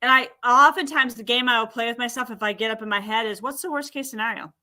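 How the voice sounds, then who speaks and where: A woman guest in a casual talk-show chat, speaking in a lively way and acting out what others say. Her voice is high and bright, with a sharp edge to it. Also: fast talker. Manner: animated, acts out quoted speech.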